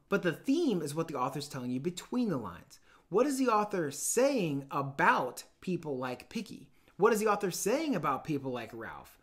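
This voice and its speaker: A man in his thirties teaching and reading aloud in an expressive, emphatic, explanatory way. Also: high-pitched. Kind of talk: explanatory lesson and storybook narration. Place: small dim room indoors.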